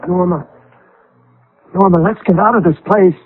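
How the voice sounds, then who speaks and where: A man's voice: high voice, moderate pace, lightly acted. A man acting in a radio drama as a bewildered, exasperated husband, stammering and blustering with an American accent. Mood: defiant, frightened. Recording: muffled, noisy old radio broadcast.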